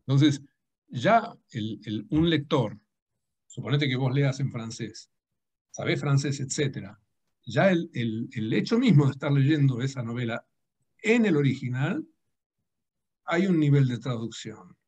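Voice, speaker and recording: A man's voice: mid-range voice, expressive, unhurried, pauses often, darkish tone, slightly rough. A man whose first language is Dutch, speaking hesitantly on a video call with frequent restarts. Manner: hesitant, many restarts and fillers. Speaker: guest translator. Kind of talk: conversational discussion.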